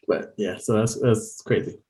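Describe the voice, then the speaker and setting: A young man with a mid-pitched voice talks through sports news in a loose, rambling way. He laughs often and leans on casual fillers as he chats with his co-host. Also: darkish tone. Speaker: podcast co-host. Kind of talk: casual chat.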